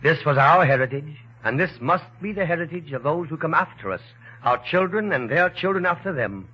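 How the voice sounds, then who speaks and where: A man's voice: on the high side, animated, fairly quick, sharp tone, husky. A man delivering an emphatic, declamatory patriotic appeal in 1940s radio oratory style. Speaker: war bond appeal speaker. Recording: muffled vintage radio broadcast.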